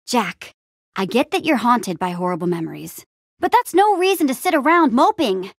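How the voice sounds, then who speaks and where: Upbeat young game hero girl, plucky n wry, super bouncy intonation, kinda high. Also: sing-song, moderate pace, no room sound, clean studio sound. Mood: encouraging, determined. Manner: eager, wry, casual youthful phrasing. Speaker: video game voice actor. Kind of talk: animated adventure game dialogue.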